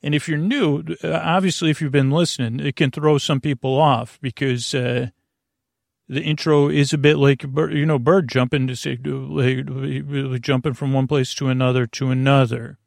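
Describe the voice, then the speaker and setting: A man hosting a bedtime podcast, talking through a long, meandering, unhurried intro meant to ease listeners toward sleep. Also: medium pitch, fairly even intonation, vocal fry, dry recording, studio quality. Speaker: podcast host.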